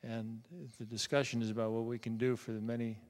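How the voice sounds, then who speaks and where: An older man introducing himself formally to a public panel, courteous and deliberate, like a retired officer. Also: medium pitch, completely flat delivery, darkish tone. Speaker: panelist, retired military officer and school principal. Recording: reverberant hall sound.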